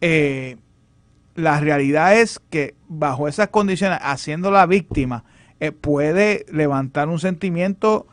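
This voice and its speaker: A Puerto Rican man offers political commentary on a panel. His voice is slightly high and recorded very dry. He speaks haltingly, working through his argument in measured steps. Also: no echo.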